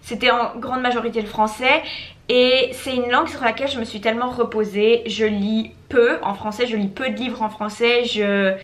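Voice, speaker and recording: A woman's voice: on the high side, fairly even intonation, normal speed. Chatty woman mixing in English. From Belgium. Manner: switches into English mid-sentence, many restarts. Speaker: language-learning YouTuber. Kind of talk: casual talking-head vlog.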